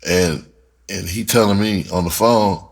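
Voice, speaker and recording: A man's voice: low voice, unhurried, creaky. A middle-aged American man telling a personal story casually and candidly, swearing freely and repeating words for emphasis. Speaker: rapper and writer telling their story.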